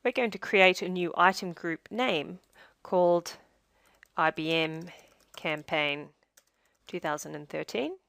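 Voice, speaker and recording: A woman narrating a scripted software tutorial as a demonstrator, in a measured, step-by-step instructional way. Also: on the low side, vocal fry, no echo.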